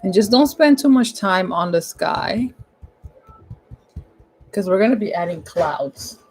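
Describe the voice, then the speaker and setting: A woman with a hoarse, husky voice giving a casual, chatty step-by-step painting tutorial at home, repeating her instructions in informal language. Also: mid-range voice, expressive, slow, low-quality recording.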